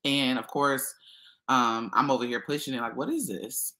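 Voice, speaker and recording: A woman hosting a casual livestream, chatty and expressive, talking to her viewers as y'all. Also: extremely low pitch, normal speed. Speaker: YouTube livestream host.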